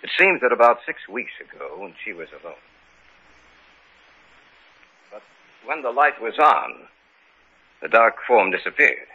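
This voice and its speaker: An actor playing an earnest, polite fiancé seeking help with the supernatural, speaking formally in an old radio drama. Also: male voice, mid-range voice, sing-song, normal speed, husky. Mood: polite, urgent. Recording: muffled old radio recording, faint music.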